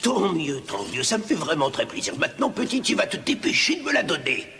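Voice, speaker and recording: A man playing a scheming, impatient villain in an animated film, theatrical, sliding between wheedling and menace. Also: a little high, brisk, roomy sound, big-room sound, soft background music, full character voice. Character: scheming, impatient male villain posing as an uncle.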